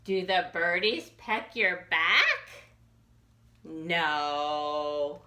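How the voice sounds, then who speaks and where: A woman children's librarian reading a picture book aloud for young children in an expressive, sing-song questioning way. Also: on the low side, extremely slow, echoey room. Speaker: children's librarian hosting storytime.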